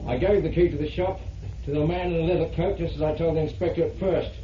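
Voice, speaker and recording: A man's voice: on the high side, fairly even intonation, quick pace, natural voice. An actor playing an accused man giving earnest, formal testimony in a vintage radio drama. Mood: earnest, defensive. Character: accused man stubbornly recanting his false confession. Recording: muffled vintage radio, distant roomy sound, faint noise.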